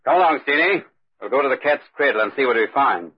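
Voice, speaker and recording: Commanding lord, very animated delivery. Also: male voice, mid-range voice, husky. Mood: brisk, resolute. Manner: brisk, authoritative. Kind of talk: 1940s radio drama acting. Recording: muffled old 1940s radio recording.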